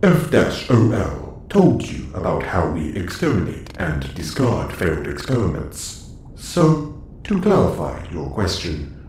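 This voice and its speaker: A man's voice: deep voice, a little mellow, full character voice. A man playing a formal, clinical android boss of a starship in an audio roleplay, speaking in precise phrasing. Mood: clinical, explanatory. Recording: roomy echo, faint background noise.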